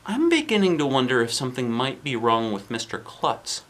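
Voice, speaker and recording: A man voicing a thoughtful, know-it-all young schoolgirl in a storybook, reasoning in a measured, earnest way. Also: mid-range voice, very animated, a bit bright. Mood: earnest concern.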